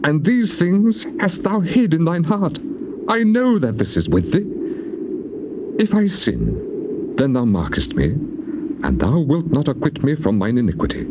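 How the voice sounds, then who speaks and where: A man's voice: a little high, huge pitch swings, a little slow, heavily acted. An actor playing an anguished, bitterly sarcastic righteous man in a dramatized scripture reading, declaiming in solemn archaic phrases. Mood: pleading. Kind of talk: dramatized scripture reading, classical stage acting. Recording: muffled old cassette tape with clear noise.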